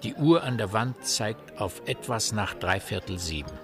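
A man narrating a literary radio play in a grave, measured storytelling manner. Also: lowish voice, animated, over music. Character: grave omniscient male narrator of a village mystery.

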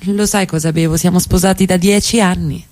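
A woman's voice: lowish voice, steady tone, a little fast, a little mellow, natural voice. A woman in a radio play acting a provocative middle-class wife who talks in an offhand, deflecting way. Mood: evasive, offhand. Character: evasive, provocative middle-class wife, cool then pleading.

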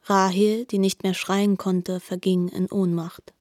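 A woman narrating a literary radio drama in a grave, deliberate way. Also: medium pitch, little pitch movement, a little mellow, no room sound, studio quality. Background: faint music.

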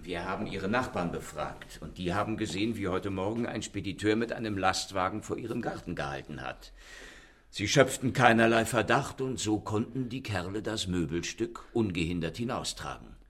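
An actor playing a composed, businesslike Paris police inspector in a radio play, speaking formally and matter-of-factly. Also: male voice, deep voice, animated, normal speed, fairly bright tone.

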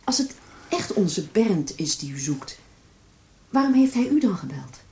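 Expressive, mellow-voiced protective mother. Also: mid-range voice, measured pace, slightly rough, heavily acted. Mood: anxious, puzzled. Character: protective, disbelieving middle-aged mother. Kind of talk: Dutch radio play acting.

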